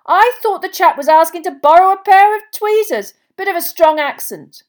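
A woman's voice: very high voice, normal speed, pitched above the performer's own voice. A woman voicing a jovial, boisterous male wizard official with a hearty, carefree, chummy manner in an audiobook. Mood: bright, breezy.

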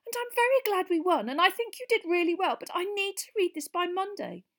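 A woman voicing a studious teenage girl speaking in a strained, high-pitched way. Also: animated, brisk. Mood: defensive. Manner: strangely high-pitched, tense.

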